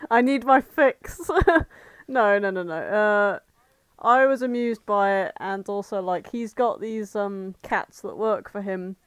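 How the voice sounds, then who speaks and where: A woman's voice: medium pitch, slow pace, clear, resonant tone, dry recording. A woman co-hosting a podcast, chatting casually and jokily with friends about television, wry and sweary. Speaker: podcast co-host.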